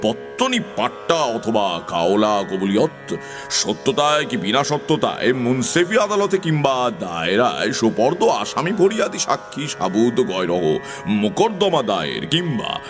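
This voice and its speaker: Pompous, swooping cartoonish crow. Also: male voice, mid-range voice, huge pitch swings, unhurried, music in the background. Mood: grandly declaiming. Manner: pompous, theatrical, officious delivery. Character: pompous, fussy talking crow, a self-important bookkeeper. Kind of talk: theatrical children's audio story acting.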